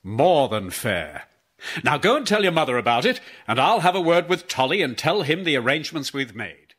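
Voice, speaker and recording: A man's voice: fairly high pitch, sing-song, moderate pace, fairly bright tone, husky, full character voice. A British man playing a kindly, fair-minded father in a children's audio drama, speaking firmly and decisively. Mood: warmly decisive.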